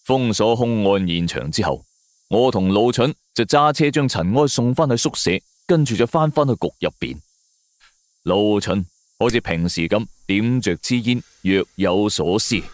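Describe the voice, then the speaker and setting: A man's voice: lowish voice, expressive, moderate pace, no echo. A man narrating a scripted suspense story in a dramatic storytelling manner.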